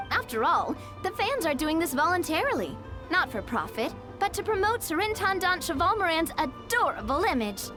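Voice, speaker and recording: A woman playing a cheerful, polished young event organizer in an anime game, explaining things in an articulate, upbeat way. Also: sits high. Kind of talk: anime game character dialogue.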